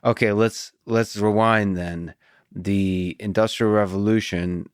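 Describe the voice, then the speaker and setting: Halting low-voiced interview host. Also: male voice, fairly even intonation, clean tone, no echo, clean studio sound. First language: Russian. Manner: hesitant, halting questions with restarts. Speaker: podcast host. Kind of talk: interview questions.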